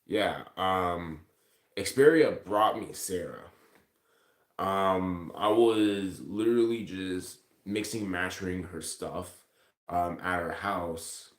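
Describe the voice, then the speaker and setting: The sound is echoey, like a phone in a bare indoor room. A man talks casually to his livestream viewers. His delivery rambles and is thick with profanity. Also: low voice. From Ontario, Canada. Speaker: live streamer. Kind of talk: casual livestream chat, replying to comments.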